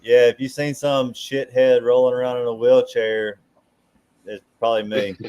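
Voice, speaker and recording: A man's voice: mid-range voice, level tone, moderate pace, dark tone, smooth. A man from Mississippi chatting casually as a guest in an offhand, self-deprecating way. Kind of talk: casual chat.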